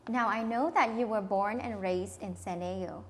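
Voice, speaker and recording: A woman hosting a studio interview. She asks questions in an encouraging, gently probing way. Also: medium pitch, normal speed. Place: television studio.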